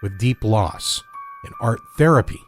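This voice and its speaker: Low-voiced man narrating slowly. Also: animated, soft background music. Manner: measured, deliberate storytelling. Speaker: host and narrator. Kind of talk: scripted audio drama narration.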